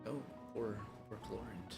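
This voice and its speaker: A man's voice: fairly low pitch, unhurried, vocal fry. A man live streaming a game, giving casual, slangy, muttered reactions to the story. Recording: game music behind the voice.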